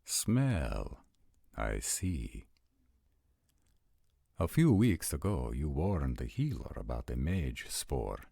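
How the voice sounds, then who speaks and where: A man playing a formal, suspicious vampire nobleman with a measured, deliberate manner in an audio roleplay drama. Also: extremely low pitch, sing-song, gravelly, dark tone, slightly rough, no room sound, studio quality, heavily acted. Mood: suspicious, demanding.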